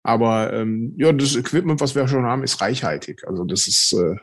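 A man answering as a podcast guest, explaining in a conversational, somewhat halting way. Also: mid-range voice, animated, dry recording, studio quality. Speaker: podcast guest, paranormal investigator.

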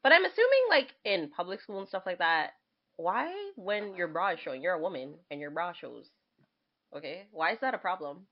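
A young woman co-hosting a podcast in casual chat, animated and sarcastic with mock indignation. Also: fairly low pitch, fairly quick, highs cut off. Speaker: podcast co-host.

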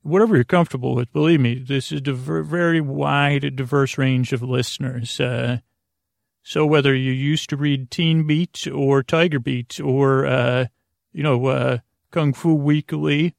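An American man with a heavily creaky, gravelly voice hosts a bedtime podcast. He meanders through his monologue, stumbling over words and letting thoughts trail off. The sound is dry, with no room around it. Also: mid-range voice, fairly even intonation, unhurried, no echo. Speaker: podcast host. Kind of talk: rambling bedtime monologue to help listeners sleep.

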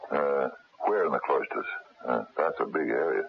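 An actor playing a suspicious, hard-nosed investigator with a pointed, accusing manner in a radio drama. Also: male voice, a bass voice, moderate pace, creaky, over music. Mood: inquiring. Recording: muffled, hissy 1970s radio broadcast.

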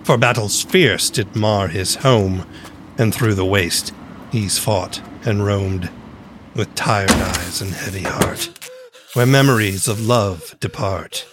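Solemn bard narrator: deep-leaning voice, extremely measured, grave archaic verse. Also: male voice, background noise. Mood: solemn, brooding. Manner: grave, theatrical, archaic rhyming delivery. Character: solemn male bard narrating a dark vengeance tale.

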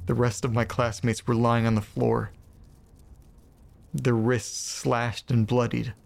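A man acting in an audio drama as a shaken narrator reading an eerie first-person account, with deliberate, broken phrasing and dramatic pauses. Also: mid-range voice, gravelly, a little mellow, natural voice. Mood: shaken, bewildered.

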